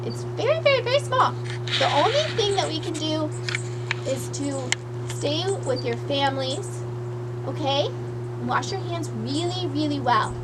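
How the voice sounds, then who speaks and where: A woman voicing a gentle, reassuring female duck in a children's puppet show, explaining things patiently and simply. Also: high voice, expressive, measured pace. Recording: noisy amateur puppet show recording.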